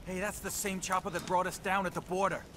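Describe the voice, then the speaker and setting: An actor playing an excitable, cheeky young American soldier in a video game. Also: male voice, sits high, fast talker, natural voice. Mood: cheeky chatter. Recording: music and engine noise behind voice.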